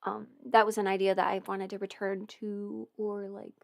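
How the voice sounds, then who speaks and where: A woman's voice: mid-range voice, little pitch movement, moderate pace, gravelly, no echo. Young woman rambling thru a livestream q&a, lots of um and like, dead dry close mic. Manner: chatty and meandering, many fillers and restarts. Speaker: audio performer and channel host. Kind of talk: casual livestream Q&A.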